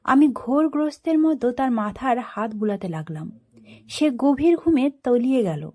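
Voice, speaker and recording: A woman storyteller narrating a first-person story in an expressive, dramatic way. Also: sits high, a little slow.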